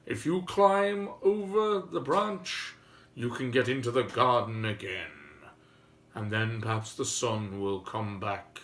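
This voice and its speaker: A man voicing a huge, gruff but soft-hearted male giant in a storybook tale, slow and commanding. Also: mid-range voice. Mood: calling out kindly.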